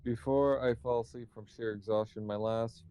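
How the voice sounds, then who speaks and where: A man reading visual novel narration aloud, halting at times and correcting his slips. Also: mid-range voice, fairly even intonation, dark tone, smooth.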